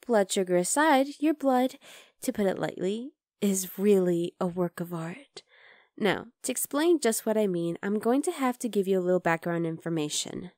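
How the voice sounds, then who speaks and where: A woman's voice: medium pitch, lively intonation, moderate pace, no echo, clean studio sound, natural voice. A woman playing an obsessive, chatty vampire lab worker in an ASMR roleplay, coaxing and teasing the listener. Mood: coy, chatty. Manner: conversational, teasing, coaxing, with soft asides.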